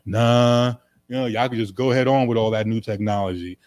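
A man's voice: mid-range voice, steady tone, fairly quick, darkish tone, no echo, studio quality, natural voice. A man doing a stubborn lazy old grumbler. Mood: dismissive. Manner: dismissive, grumbling refusals. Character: stubborn lazy older man refusing all change.

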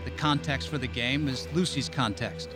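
A man's voice: medium pitch, moderate pace. A man delivering scripted narration as the narrator of a documentary. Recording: music under the narration. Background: background music.

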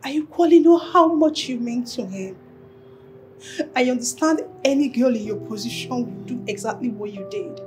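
An actress playing an earnest, guilt-ridden young woman, halting and pleading in a film drama. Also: fairly high pitch, animated, over music, natural voice. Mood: earnest, reassuring. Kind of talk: Nigerian romantic drama film acting.

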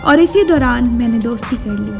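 A woman's voice: a little high, lively intonation, normal speed, gravelly. A young woman with a Pakistani accent narrating her trip in a casual, chatty voice-over that mixes in English phrases. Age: young adult. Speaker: travel vlogger. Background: music.

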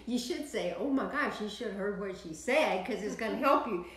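A woman's voice: mid-range voice, normal speed, reverberant, a crowd in the background. An older woman with a Texan accent, preaching to an online audience from a home office. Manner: animated, preaching with sweeping hand gestures. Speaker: minister. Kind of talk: prayer broadcast sermon.

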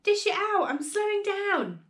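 A screechy old grandma in a storybook. The voice is very high and swoops wildly. She shouts every line with greedy, manic glee. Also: animated, measured pace. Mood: greedy, frantic. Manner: shouting, exclamatory.

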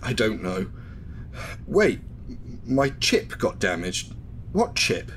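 Man playing an uneasy husband, animated delivery. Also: on the low side, slow, slight background noise, full character voice. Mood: confused, anxious. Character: uneasy, questioning ordinary husband. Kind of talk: radio drama acting.

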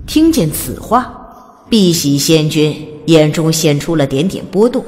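A woman narrates a fantasy audio drama in a low, expressive voice with wide swings of intonation. Her delivery is scripted and dramatic. There is long reverb on the voice. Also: a little slow, a little hiss or hum. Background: faint music.

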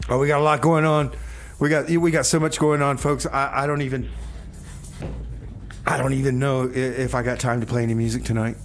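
A man's voice: mid-range voice, flat delivery, a little fast, slight background noise. A man hosting a community radio show with casual comic patter, rambling and repeating his phrases in a folksy way.

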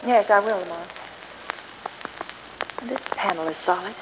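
A woman's voice: medium pitch, unhurried, lightly acted. An actress playing a refined, anxious young woman helping a sleuth in a 1930s radio mystery. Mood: polite, curious. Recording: noisy old 1930s radio recording.

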